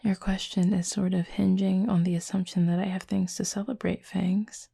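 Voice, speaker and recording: A woman playing a reserved, gently teasing cupid roommate in an intimate audio roleplay, speaking softly and breathily in a dry, measured way. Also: medium pitch, flat delivery, creaky, slightly rough. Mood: reserved, thoughtful. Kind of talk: intimate roleplay audio drama, addressing the listener.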